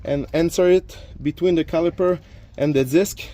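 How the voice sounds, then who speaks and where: A man's voice: a little high, moderate pace, warm tone. Man with a French first language. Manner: plain explanations, frequent fillers and restarts. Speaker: DIY car repair youtuber. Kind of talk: casual how-to narration while working. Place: garage or driveway by a car.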